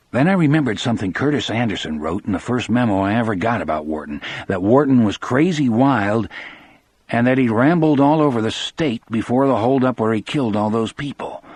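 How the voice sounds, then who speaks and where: A man with a husky voice reading scripted first-person audiobook narration. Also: on the low side, darkish tone, no echo.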